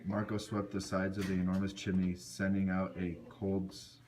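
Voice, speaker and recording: A man's voice: sits low, completely flat delivery, moderate pace, a little mellow. A man reading scripted storybook narration for an amateur puppet show. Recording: noisy amateur recording with clicks.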